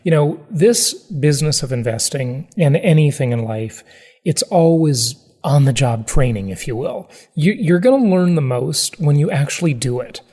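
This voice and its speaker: A man's voice: on the high side, animated, normal speed, darkish tone. A man hosting a podcast in a casual, conversational way, rambling a little and repeating phrases for emphasis. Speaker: podcast host. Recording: reverberant hall-like echo.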